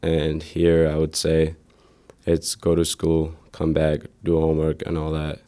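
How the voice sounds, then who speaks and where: A young man from Ethiopia, a native Amharic speaker, answering interview questions in a hesitant, plain way with many pauses. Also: very deep voice, monotone, mellow. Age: teens to young adult.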